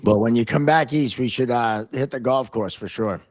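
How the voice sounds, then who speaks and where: An American man with a medium-pitched voice hosts a casual podcast interview. He speaks informally, halting and doubling back on words as he goes. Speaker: podcast host, hockey referee.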